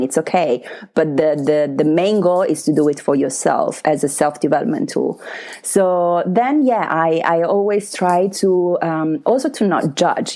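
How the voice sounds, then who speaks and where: A woman with a low, mellow voice, an Italian native speaker talking in English. She speaks in a reflective, searching way, full of "you know" and "uh" and small restarts. A soft, conversational podcast guest. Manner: hesitant, many fillers and restarts, soft laughs. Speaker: podcast guest, writing workshop facilitator.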